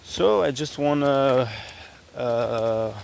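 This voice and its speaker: Slow-talking man. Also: mid-range voice, creaky, a little mellow, slight background noise. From Belgium. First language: Dutch. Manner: chatty and unhurried, with many fillers and restarts. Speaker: vlogger. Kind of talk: casual travel vlog. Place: outdoors on a rural hiking trail. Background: faint outdoor ambience.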